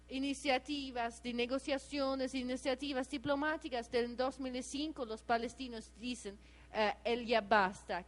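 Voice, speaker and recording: Italian woman giving a halting speech. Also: sits high. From Italy. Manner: hesitant, many filler sounds and restarts. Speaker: activist speaker. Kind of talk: public speech at an assembly.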